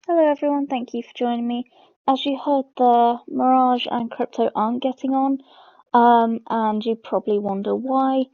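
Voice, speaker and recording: A woman's voice: fairly high pitch, steady tone, moderate pace, no room sound. A woman hosting a game lore video, explaining it informally as she goes. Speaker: host of a game lore channel. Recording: dull lo-fi close mic.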